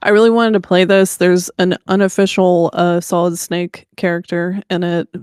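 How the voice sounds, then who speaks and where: Female podcast co-host, clear voice, fairly flat intonation, dry close sound, casual gamer chat. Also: on the low side, normal speed, dry recording.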